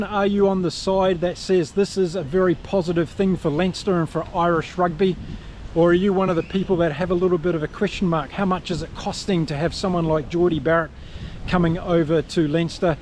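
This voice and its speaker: A man's voice: high-pitched, a little mellow, slight background noise. Upbeat Kiwi man chatting to viewers. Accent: New Zealand. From New Zealand. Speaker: rugby vlogger. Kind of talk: casual solo commentary to camera.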